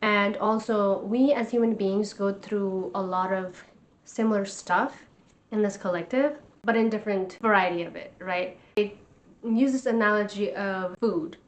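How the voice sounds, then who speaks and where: A woman's voice: mid-range voice, unhurried. A woman in her twenties from Nepal, talking to camera in a casual vlog and sounding earnest and encouraging like a life coach.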